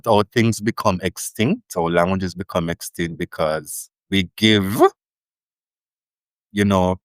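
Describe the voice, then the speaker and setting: A man's voice: low voice, clear, resonant tone, dry recording. A Jamaican man, a linguist guest, telling stories in a thoughtful, emphatic way in a casual interview. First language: Jamaican Creole.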